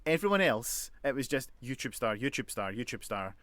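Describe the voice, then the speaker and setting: A man's voice: medium pitch, big pitch swings, moderate pace, a bit bright, dry recording. A middle-aged man co-hosting a radio show, chatting casually and jokily between bits of read-out trivia. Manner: jokey, chatty.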